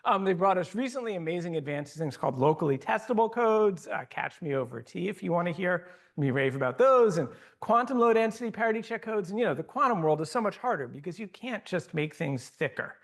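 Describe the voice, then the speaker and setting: Animated man giving an academic lecture. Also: high-pitched, brisk, vocal fry, hardly any pauses. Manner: animated, self-correcting, thinking aloud. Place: lecture hall.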